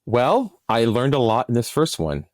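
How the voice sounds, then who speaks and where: A man's voice: mid-range voice, big pitch swings, normal speed, dry recording, studio quality. A man, a producer and podcast guest, talking casually in an interview and explaining his work.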